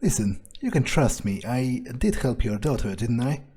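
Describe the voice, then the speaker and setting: A man speaking in character during a video game playthrough, in a halting, musing way. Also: medium pitch, big pitch swings, warm tone, slightly rough. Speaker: player voicing his own character in a let's play.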